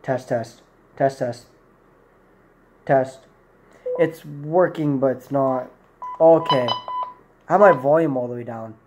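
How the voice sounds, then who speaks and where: A man's voice: mid-range voice, takes it slowly, long pauses, dull audio, noisy background. A man in his twenties giving a casual, unscripted product review to camera in a small room, rambling and correcting himself as he goes. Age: 20s. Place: small plain room indoors.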